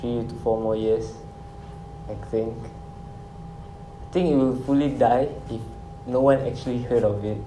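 A laid-back young man from Singapore answers casually, hedging often. The room sound is echoey, with clear background noise. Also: mid-range voice, fairly even intonation, unhurried, long pauses, dark tone. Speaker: interviewee. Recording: roomy, noisy location sound.

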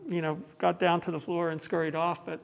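A middle-aged American man patiently explaining things in a measured way during an interview, as a bell ringer giving a tour. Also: fairly high pitch, level tone, creaky, low-quality recording. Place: large indoor tower room. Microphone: clip-on mic on the chest.

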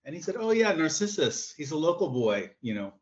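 A man's voice: on the high side, sing-song, brisk. A man from Tennessee asking a question on a video call with his camera off, hesitant, with frequent uhs and ums. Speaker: audience member asking a question. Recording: dull lo-fi call audio.

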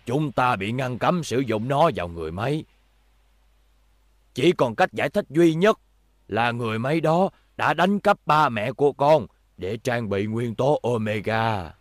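A man's voice: medium pitch, lively intonation, a little slow, dry recording, clean studio sound, lightly acted. A man voicing a fussy, fatherly old professor in a cartoon dub, earnest and explaining. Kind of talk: cartoon dubbing.

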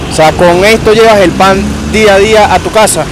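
A man's voice: sits high, fairly quick, noisy background. A young man, the vlogger, asking friendly, informal questions of street performers in a city park. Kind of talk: casual street interview. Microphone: handheld clip mic in a selfie shot.

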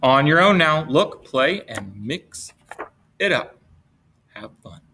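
A middle-aged man tells a picture-book story to small children. His voice swings widely in pitch and he takes his time, stretching words out. The manner is playful and expressive, with simple questions put to the listeners. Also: slow pace, a little hiss or hum. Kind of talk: read-aloud storytelling for young children. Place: indoors at a desk, webcam with book camera.

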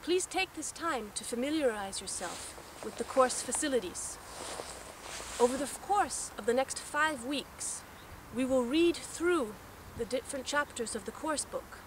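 A woman's voice: sits high, lively intonation, a little slow. Woman instructor recorded outdoors from afar. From Texas, raised in France. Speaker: course instructor. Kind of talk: course introduction to camera. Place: outdoors in open grassy dunes. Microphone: camera mic at a distance. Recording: distant outdoor mic with wind noise.

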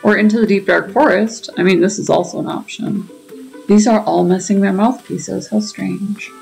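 A woman's voice: mid-range voice, steady tone, normal speed, creaky, a little mellow, poor audio. A woman giving casual playthrough commentary as a game streamer, chatting and thinking aloud. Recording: game music behind the voice.

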